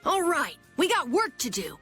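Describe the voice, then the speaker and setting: A woman voicing a brisk, bossy, easily flustered young girl in an English anime game dub. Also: sits high, huge pitch swings, fairly bright tone, faint music behind, full character voice.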